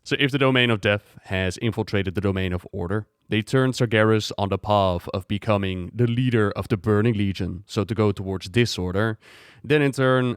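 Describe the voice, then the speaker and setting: A man's voice: lowish voice, smooth, no echo, clean studio sound. A man narrating an explanatory game lore video in a conversational, engaged way.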